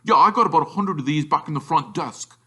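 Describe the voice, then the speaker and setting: A man's voice: fairly high pitch, animated, fast, pushed and bright, breathy edge, pitched above the performer's own voice, exaggerated voice. A man reading a storybook, playing a polite, matter-of-fact woman who owns a hotel. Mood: polite, inquiring.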